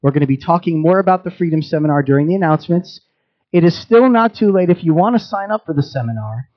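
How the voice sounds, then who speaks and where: A man leading a Messianic congregation, giving announcements, a prayer and an introduction of guest speakers in a fast, casual way with fillers. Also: a little high, expressive, lo-fi sound. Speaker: congregation leader.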